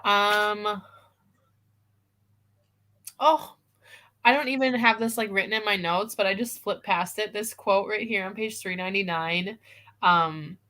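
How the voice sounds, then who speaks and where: Bright-voiced, giggly woman chatting about books. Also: medium pitch, a little slow, long pauses. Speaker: co-host of book discussion live show. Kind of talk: casual livestream book chat. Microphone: video call over internet.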